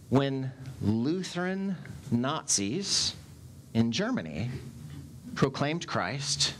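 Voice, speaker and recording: A man in his forties preaches to his congregation on a headset mic, his voice rising and falling with wide, lively swings. He talks in an animated way, gesturing as he goes. Faint room noise sits under the sanctuary recording. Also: mid-range voice. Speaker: pastor. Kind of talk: sermon. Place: church sanctuary stage.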